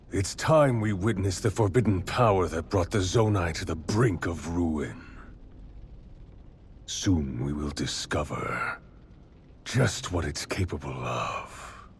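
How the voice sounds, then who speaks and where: An actor playing an imperious, ambitious villain warlord in a video game cutscene, speaking in a deliberate, grandiose and commanding manner. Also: male voice, low-pitched, a little hiss or hum, exaggerated voice.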